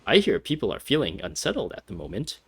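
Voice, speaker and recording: A man's voice: medium pitch, huge pitch swings, fast talker, gravelly, breathy edge. A young man giving casual, wry gameplay commentary, laughing as he nitpicks. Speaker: game streamer.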